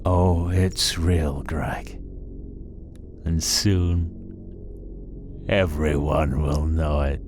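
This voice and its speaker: Man playing a very deep, menacing serial killer. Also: level tone, noisy background, heavily acted. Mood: cold menace. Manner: slow, deliberate, ominous pauses. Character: menacing male serial killer streamer, coldly intense artist. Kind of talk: horror audio story acting. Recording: loud music under the voice. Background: music.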